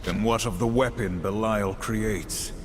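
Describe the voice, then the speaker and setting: A man's voice: lowish voice, steady tone, a little slow, slight background noise, natural voice. A man playing a grim, wrathful horseman warrior in a video game, speaking in a solemn, blunt, commanding way. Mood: stern, menacing. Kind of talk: video game cutscene voice acting. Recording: long hall reverb, game music behind.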